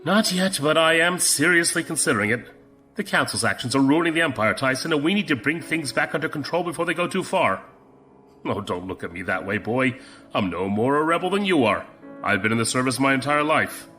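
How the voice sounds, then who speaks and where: Man playing a bright-voiced, seasoned space admiral. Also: mid-range voice, expressive, a little fast, faint music behind. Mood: grave, resolute. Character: seasoned, fatherly male space-empire admiral, grave and conspiratorial. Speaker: voice actor in audio drama. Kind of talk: audio drama dialogue.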